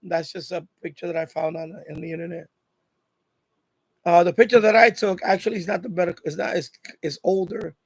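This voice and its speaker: High-pitched man chatting on a livestream. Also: brisk, creaky, husky, dry recording. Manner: rambling, repeats himself. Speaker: livestream host. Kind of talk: casual live chat with viewers.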